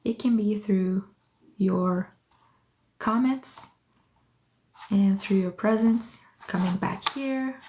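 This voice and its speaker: A woman's voice: medium pitch, slow, long pauses, darkish tone. A woman whose first language is French, chatting casually on a livestream from her art studio, greeting viewers by name and thinking aloud as she works. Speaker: artist hosting a livestream. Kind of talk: casual livestream chat while working.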